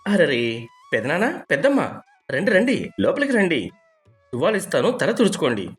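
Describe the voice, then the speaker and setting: A man's voice: a little high, huge pitch swings, normal speed, faint music behind. A man playing a cheerful, eager village husband who loves having guests in a storybook tale. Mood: welcoming. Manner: eager, persuasive. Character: cheerful, hospitable village husband who adores visiting relatives.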